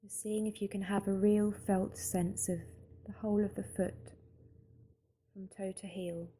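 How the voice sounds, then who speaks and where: A woman guiding a mindfulness meditation in a calm, gentle, unhurried manner with long pauses between phrases. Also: medium pitch, slightly rough. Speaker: meditation guide. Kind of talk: slow guided mindfulness meditation narration.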